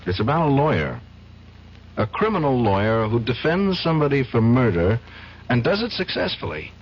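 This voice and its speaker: An actor playing a troubled young defense lawyer in a radio drama, hesitant and stammering, emotionally charged. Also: male voice, mid-range voice, very animated, normal speed, slightly rough. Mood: earnest. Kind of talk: 1970s radio drama acting. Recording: dull old radio broadcast, faint hiss.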